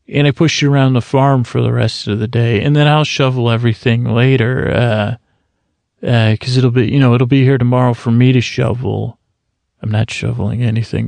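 A man hosting a bedtime podcast who rambles in a meandering, halting way, stretching out some words slowly to lull listeners to sleep. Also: medium pitch, vocal fry, darkish tone, no echo. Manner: meandering, halting, drifting into slow drawn-out words. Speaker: podcast host.

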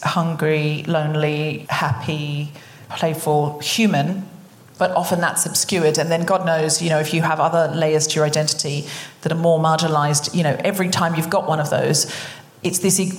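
A woman hosting a live podcast, speaking reflectively and building long, thoughtful points. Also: lowish voice. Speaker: podcast host. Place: live stage before an audience in a concert hall. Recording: echoey hall with long reverb.

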